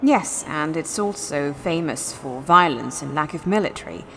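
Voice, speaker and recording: A woman playing a sly, predatory female vampire lieutenant in a fantasy audio drama, expressive, confident and teasing. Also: low voice, normal speed, a little hiss or hum. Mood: confident, informative.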